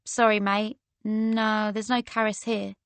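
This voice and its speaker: A woman's voice: medium pitch, little pitch movement, measured pace, smooth, dry recording, natural voice. A woman voicing a friendly, laid-back young London woman in an audiobook, breezy and informal with a London accent. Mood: casual, sympathetic. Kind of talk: casual slangy character dialogue in an audiobook.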